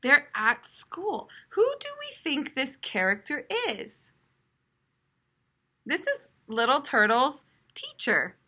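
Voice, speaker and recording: A young American woman reads aloud like a primary school teacher, explaining as she goes. Her voice is fairly high and bright, with animated, expressive pitch. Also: slow pace. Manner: expressive, explanatory, clearly addressed to children. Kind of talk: read-aloud lesson for young children. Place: classroom, indoors.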